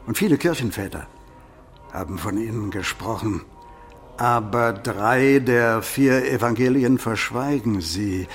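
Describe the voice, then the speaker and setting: An actor playing a learned medieval canon in a radio play, lecturing in a pedantic, deliberate manner. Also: male voice, on the low side, soft background music, close to an everyday voice. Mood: pedantic, pondering. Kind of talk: German radio play acting.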